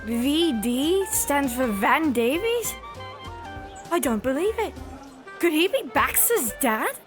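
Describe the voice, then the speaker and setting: A woman's voice: high voice, animated, slow pace, soft background music. A woman playing an astonished, nosy young street detective in an audio drama, firing off incredulous questions. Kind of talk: comic audio drama acting.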